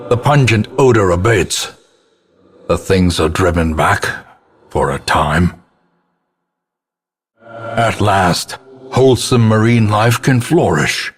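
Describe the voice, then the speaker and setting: A man playing a grim old ancestor, narrating a gothic game in a grave, theatrical and portentous manner with a husky, gravelly voice. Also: low voice, exaggerated voice. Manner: grave, ornate, deliberate, portentous.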